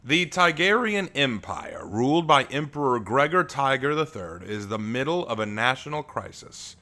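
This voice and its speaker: A man with a deep voice, an author chatting with his stream audience and then reading his own fantasy prologue aloud, relaxed, with fillers and restarts. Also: normal speed.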